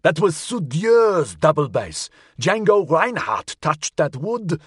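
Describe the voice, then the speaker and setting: A man's voice: fairly high pitch, sing-song, slow, breathy edge, no echo, studio quality, pitched above the performer's own voice, heavily acted. A man voicing an emotional, distraught male character with anguished delivery in an audiobook. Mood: outraged. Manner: anguished, trembling outbursts.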